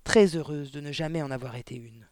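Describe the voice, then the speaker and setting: A man's voice: fairly high pitch, fairly quick, darkish tone, dry recording, lightly acted. A man voicing a huge, bullying, spiteful middle-aged headmistress in a storybook, barking scornfully and emphatically.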